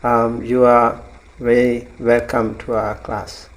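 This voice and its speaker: A man's voice: medium pitch, completely flat delivery, slow, low-quality recording. A man teaching a class as a monk, welcoming students over a video call with frequent ums and light laughs.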